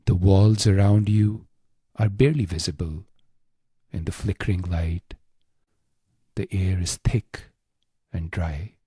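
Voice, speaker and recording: A man guiding a scripted meditation in a hushed, solemn, deliberate way, pausing often, close to the microphone. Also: low voice, steady tone, breathy edge, no room sound. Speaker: meditation guide. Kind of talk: slow scripted guided meditation.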